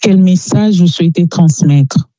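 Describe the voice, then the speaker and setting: A woman's voice: on the low side, unhurried, mellow, no room sound. A woman hosting a radio interview in a studio, introducing her guest and putting questions.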